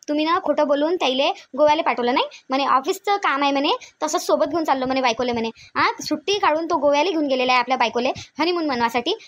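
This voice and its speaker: Woman playing a high-pitched, scolding mother-in-law, Vidarbha accent. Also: a bit bright. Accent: Vidarbha Marathi. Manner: scolding, insistent, repeats her demands. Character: bossy, suspicious, aggrieved older mother-in-law. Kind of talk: cartoon family comedy skit acting.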